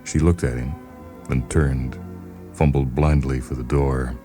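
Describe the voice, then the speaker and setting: An American man reading a literary short story as narrator, in a measured, deliberate manner. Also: rumbling low, fairly even intonation, warm tone, faint music behind. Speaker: narrator and reader of short stories.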